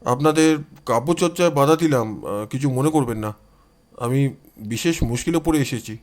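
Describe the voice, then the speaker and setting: A man's voice: medium pitch, lively intonation, moderate pace, a little mellow, voice pushed down, close to an everyday voice. Man voicing a courteous, troubled police inspector. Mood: apologetic. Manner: polite, explanatory. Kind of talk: audio story dialogue.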